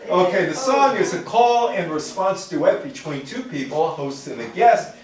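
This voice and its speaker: A man's voice: high-pitched, expressive, moderate pace. An older American man giving an informal lecture on music history to a live audience. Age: older, grandfather. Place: indoor room before a live audience. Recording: very echoey room, distant microphone.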